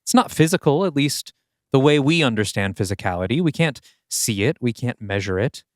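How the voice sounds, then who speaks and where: A man voicing a gentle, philosophical older male mystic in an audio play, speaking with earnest calm. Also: mid-range voice, fairly quick, no echo, clean studio sound, lightly acted. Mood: serene, earnest.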